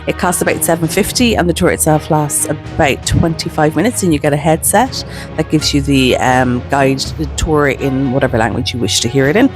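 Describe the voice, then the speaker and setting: A woman's voice: low voice, fairly quick. A woman giving a casual, chatty travel voice-over, explaining things as she goes. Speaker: travel vlogger. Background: background music with guitar.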